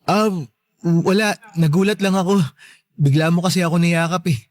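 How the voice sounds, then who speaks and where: A man's voice: high voice, steady tone, normal speed, dry recording, close to an everyday voice. A man playing a meek, polite young working son in a radio drama, shy and submissive in manner. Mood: shy, surprised. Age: young adult. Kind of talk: Filipino radio drama acting.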